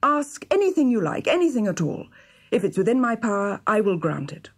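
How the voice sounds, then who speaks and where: A woman's voice: medium pitch, big pitch swings, fairly quick, husky, voice pushed up. Woman playing an earnest, easily flustered middle-aged king. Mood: grateful. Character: kindly fifty-year-old Persian king, easily flustered. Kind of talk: children's storybook character reading.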